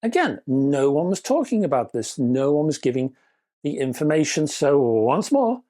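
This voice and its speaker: British man with a very animated delivery. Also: a little high, normal speed. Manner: chatty, wry, emphatic with rhetorical questions. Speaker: product reviewer. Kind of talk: scripted review narration with casual asides.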